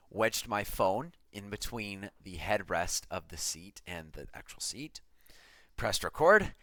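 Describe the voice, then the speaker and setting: A man's voice: lowish voice, fairly bright tone, no room sound. A man giving an offhand, self-deprecating commentary as he breaks down an electronic track he produced. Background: music from the track being played.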